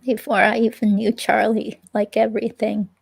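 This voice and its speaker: A woman reading the part of an evasive, unsure ex-girlfriend in a police interview, with a creaky, hesitant, rambling delivery. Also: fairly high pitch, little pitch movement, normal speed. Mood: hesitant, vague. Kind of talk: dramatic reading of an interview transcript, conversational.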